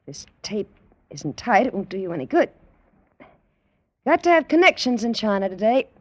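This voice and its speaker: An actress playing a self-assured American woman doctor in an old radio drama, brisk, confident and lightly teasing. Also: medium pitch, sing-song, no echo. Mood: brisk, professional. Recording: dull old radio recording.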